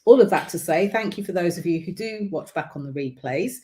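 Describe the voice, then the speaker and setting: Low-voiced woman with a croaky throat. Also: animated, a little fast, dark tone. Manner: chatty, many ums, encouraging. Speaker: visibility coach hosting a livestream. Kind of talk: casual livestream talk to camera.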